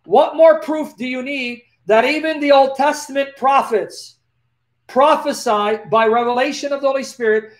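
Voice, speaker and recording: A man in his forties with a lisp, talking to his webcam in a live question-and-answer stream and reading scripture aloud in an animated, preacherly way. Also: very high voice. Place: small dim indoor room. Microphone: laptop webcam, close.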